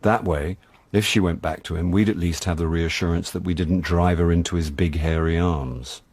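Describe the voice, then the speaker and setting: A man's voice: deep voice, fairly even intonation, moderate pace, darkish tone. An actor playing a wry middle-aged British man, a jaded dad and amateur sleuth, in a radio drama with first-person narration. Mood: wry narrating. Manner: dry, wry, sardonic.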